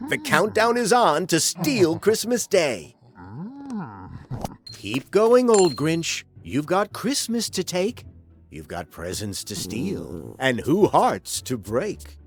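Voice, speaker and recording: A male storyteller with a slow, measured delivery and a highly animated pitch that swells and drops theatrically. He reads rhyming children's narration with playful, drawn-out emphasis, over prominent music.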